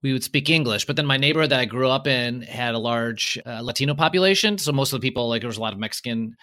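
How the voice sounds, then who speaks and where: Bright-voiced man telling stories. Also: medium pitch, fast. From Chicago, USA. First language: Polish. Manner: storytelling, self-mocking. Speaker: comedian guest. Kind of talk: casual podcast chat.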